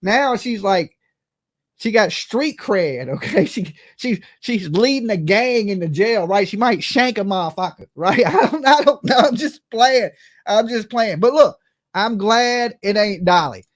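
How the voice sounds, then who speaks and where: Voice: mid-range voice, expressive, unhurried. A livestream host with a brash, profane manner gives casual, gossipy commentary, often tagging statements with "okay?" or "right?".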